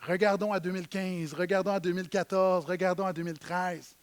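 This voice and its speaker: A man with a Québécois French accent preaching a sermon in church, emphatic, swinging between loud stresses and quiet low lines. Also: fairly even intonation, brisk. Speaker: pastor.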